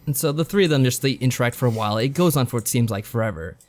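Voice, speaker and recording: A man's voice: medium pitch, expressive, very fast. A man co-hosting a podcast in casual chat, giving a rambling, joking recap. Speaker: podcast co-host. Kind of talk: casual chat reviewing visual novels.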